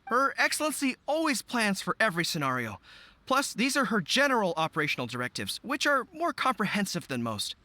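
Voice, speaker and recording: Earnest young general, bright tone, high, lively. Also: male voice, brisk, no echo, heavily acted. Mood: relieved. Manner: earnest, careful planner. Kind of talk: video game voice acting.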